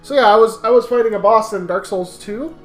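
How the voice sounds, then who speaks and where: A man co-hosting a game show, telling stories in casual, profane chat with an animated manner. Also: high voice, brisk. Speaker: co-host of a gaming playthrough show. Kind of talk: casual storytelling chat over a video game. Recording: roomy echo with music behind.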